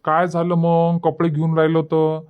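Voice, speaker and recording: Guy doing a high pitched cartoon husband, defensive and arguing, varhadi marathi accent, bit lo-fi. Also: monotone, moderate pace, clear, resonant tone, lightly acted. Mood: defensive, reproachful. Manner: argumentative, reproachful. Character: defensive, indignant village husband. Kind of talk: colloquial cartoon comedy dialogue.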